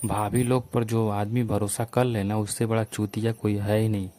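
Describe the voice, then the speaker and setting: A man's voice: on the low side, little pitch movement, moderate pace, dark tone. A man with an eastern Uttar Pradesh accent talking casually and accusingly on a recorded phone call. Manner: accusing, complaining.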